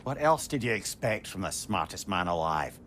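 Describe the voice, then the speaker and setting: A man's voice: sits low, sing-song, normal speed, a bit bright, slight background noise. A man playing a witty, affectionate and boastful older sage in a video game. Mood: jovial. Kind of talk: video game cutscene acting.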